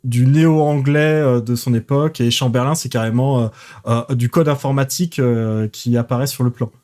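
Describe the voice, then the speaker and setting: A man narrating a tabletop role-playing game as game master, pausing often with fillers. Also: mid-range voice, fairly even intonation, dark tone. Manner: hesitant, many fillers.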